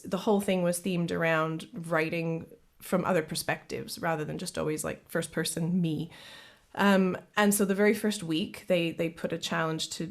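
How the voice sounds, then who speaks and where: Chatty Canadian-born woman, lowish voice. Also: vocal fry. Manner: chatty, laughs often, repeats words when starting. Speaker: guest singer-songwriter. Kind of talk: casual interview chat.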